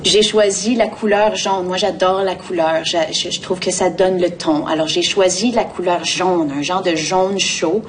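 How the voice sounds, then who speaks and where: A woman with a Canadian French accent gives conversational interview answers in a fairly low voice. She halts and repeats words as she searches. The mic is some way off and a faint rumble sits underneath. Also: steady tone, measured pace, few pauses, distant mic. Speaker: theatre artistic director, interview guest.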